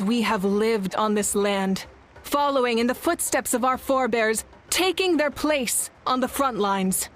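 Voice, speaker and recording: A woman playing a commanding warrior leader in a video game, solemn and rallying, speaking with measured, dignified oratory. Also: high voice, a bit bright. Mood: solemn, proud.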